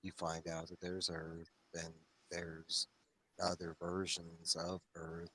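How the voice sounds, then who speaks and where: Low, bright-voiced man rambling enthusiastically. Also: completely flat delivery, slow pace. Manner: enthusiastic, meandering, circles back on phrases. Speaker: host of a comics read-along discussion show. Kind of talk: casual discussion, rambling explanation.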